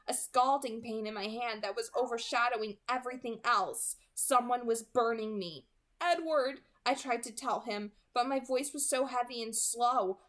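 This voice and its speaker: A woman hosting a read-aloud, speaking in an earnest, expressive storytelling way. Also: a little high. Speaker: host reading a novel aloud. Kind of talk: dramatic audiobook-style read-aloud with casual introduction.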